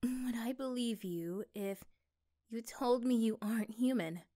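A woman playing an affectionate, curious girlfriend in an ASMR roleplay, speaking gently and haltingly. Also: on the high side, lightly acted. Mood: hesitant, curious.

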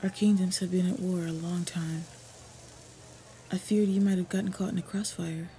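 A woman playing a devoted, protective female orc warrior lover in an intimate fantasy roleplay audio, speaking softly, earnestly and often breathily. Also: fairly low pitch, little pitch movement, moderate pace, natural voice. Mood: relieved, tender. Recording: clear background ambient noise. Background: ambience sound effects.

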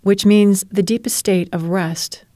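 A woman leading a guided relaxation as a meditation teacher, speaking in a calm, soothing and measured way. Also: medium pitch, no room sound, clean studio sound. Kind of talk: guided relaxation narration, yoga nidra.

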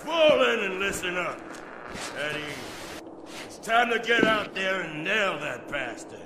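High-shouting militia boss in echoing noise. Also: male voice, very animated, unhurried, heavily acted. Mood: commanding, aggressive. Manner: shouting orders. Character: gung-ho militia squad leader, aggressive and commanding. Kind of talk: video game military barking.

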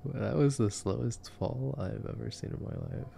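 A young man doing an ASMR boyfriend roleplay as casual movie commentary, talking in a sarcastic, slangy, low-key way. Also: normal speed, creaky, warm tone, a little hiss or hum.

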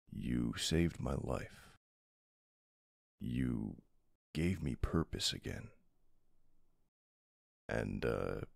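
A man playing a tough but soft-hearted mafia boss husband in an intimate ASMR roleplay, murmuring tenderly with a very deep, gravelly voice. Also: no echo, clean studio sound, heavily acted. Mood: loving.

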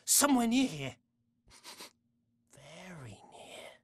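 An actor playing a small, mouthy, excitable undead sidekick in a video game, theatrical and easily indignant. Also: male voice, high-pitched, takes it slowly, slightly rough, exaggerated voice. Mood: ominous. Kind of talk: video game cutscene acting.

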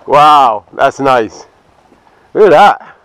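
A man's voice: mid-range voice, animated, extremely slow, a bit bright, dry recording, low-quality recording. A man talking casually as he films himself fishing from a small boat. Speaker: angler filming a fishing trip. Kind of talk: casual vlog chat while fishing. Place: small open boat on a waterway, outdoors. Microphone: chest or head action camera worn by speaker. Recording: outdoor action camera, clear background noise.

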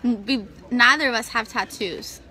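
A woman's voice: a little high, a little slow, sharp tone, faint music behind. A 21-year-old woman chatting casually and playfully on a phone livestream, reading out viewer comments. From New Jersey, US. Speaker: livestream co-host, wrestler. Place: small indoor room. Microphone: phone camera held close.

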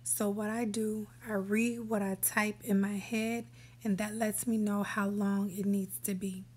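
A woman hosting a screen-recorded app tutorial, giving relaxed step-by-step instructions that sometimes drop to a whisper. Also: medium pitch, measured pace, breathy edge. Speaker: tutorial host. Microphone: voice-over for a screen recording.

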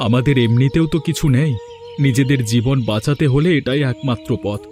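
An actor playing a troubled, fatalistic poor village eldest brother in a cartoon, earnest and grave. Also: male voice, mid-range voice, fairly quick, darkish tone, faint music behind, natural voice. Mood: gloomy, resigned. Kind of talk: cartoon moral story voice acting.

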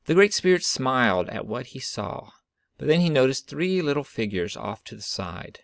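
Man, children's storybook reader, mid-pitched voice with some fry, dry sound. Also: sing-song, normal speed, no echo. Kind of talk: expressive read-aloud of a children's picture book.

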